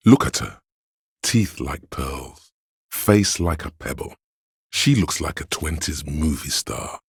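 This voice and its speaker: Very deep, husky seductive tempter. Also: male voice, expressive, slow, no echo, studio quality, full character voice. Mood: lustful, savoring. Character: seductive, hedonistic inner voice of addiction and lust. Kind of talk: video game character narration.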